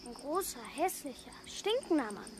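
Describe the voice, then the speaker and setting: A woman's voice: high voice, big pitch swings, normal speed, crowd noise. A woman playing an upset, indignant little girl in a German crime radio drama.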